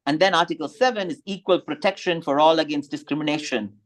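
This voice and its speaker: A man's voice: on the high side, fast talker. A man whose first language is Tamil, giving a thoughtful slide presentation and rising in emphasis on key points.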